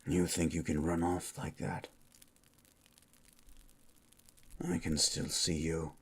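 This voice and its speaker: A man playing a grim, menacing monster hunter for hire in a fantasy ASMR roleplay, speaking in a terse, deliberate, coldly questioning way. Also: little pitch movement. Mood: taunting, menacing. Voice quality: husky, very low.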